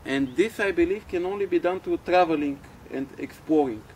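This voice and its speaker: Male interviewee from Bulgaria, measured and reflective, voice a bit high, faint hiss behind. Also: darkish tone, poor audio. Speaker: NGO project coordinator. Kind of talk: conference interview answers.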